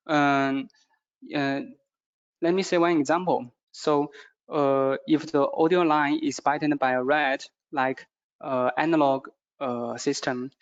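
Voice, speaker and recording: Halting man presenter, non-native English, muffled audio. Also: medium pitch. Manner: halting, repetitive phrasing with stumbles. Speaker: product presenter. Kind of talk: product training presentation.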